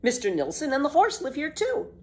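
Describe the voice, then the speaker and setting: Boastful, carefree little girl, acted by a woman, storybook tall-tale teller, emphatic, very animated, raised pitch. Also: a little fast. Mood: breezy, cheerful. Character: bold, boastful, exuberant little girl telling tall tales. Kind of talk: children's storybook read-aloud.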